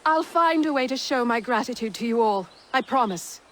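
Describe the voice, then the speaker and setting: A woman playing a spirited, grateful settler wife in a video game cutscene, heartfelt and expressive. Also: a little high, moderate pace.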